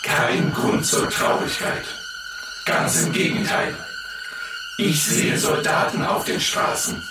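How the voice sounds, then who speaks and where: A man performing a declamatory announcer in a radio play, speaking slowly and emphatically. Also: natural voice. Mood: declamatory, upbeat. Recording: very echoey, distant, loud music behind.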